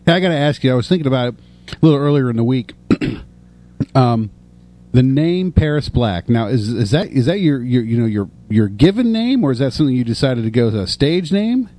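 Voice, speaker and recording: A man's voice: mid-range voice, animated, a little fast, dark tone. A man hosting a casual interview, asking questions in an informal, easygoing way. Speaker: interview host.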